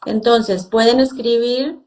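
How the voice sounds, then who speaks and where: Woman, interview host, slightly high pitch, conversational questioning, strong room echo. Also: fairly even intonation, takes it slowly, a little mellow. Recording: echoey room sound.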